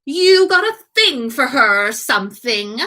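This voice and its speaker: A woman's voice: high-pitched, sing-song, unhurried, bright, forceful tone, pitched above the performer's own voice, exaggerated voice. A woman voicing a haughty, dramatic teenage wizard boy who drawls indignant complaints in a storybook reading. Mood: sleepy grumbling.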